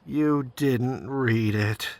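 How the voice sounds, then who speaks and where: Man acting dry, exasperated presidential chief of staff, unhurried. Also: medium pitch, darkish tone, dry recording. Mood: exasperated, disappointed. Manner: dry, formal, patient. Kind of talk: comedy audio drama acting.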